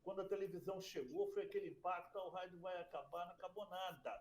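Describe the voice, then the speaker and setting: A man's voice: sits high, quick pace, reverberant, a little hiss or hum. A man radio broadcaster speaking as an interview guest, emphatic and stumbling over restarts. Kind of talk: conversational interview.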